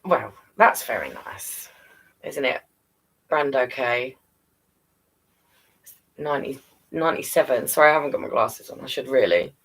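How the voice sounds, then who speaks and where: A British woman chats on a live stream in a lowish, creaky voice with plenty of rise and fall. She is affectionate and encouraging, like a storyteller talking to her audience. She sounds a little way back from the microphone. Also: animated, unhurried, pauses often, far from the mic, low-quality recording. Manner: affectionate, encouraging, calls listeners darling and guys. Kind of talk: casual live-stream chat to viewers.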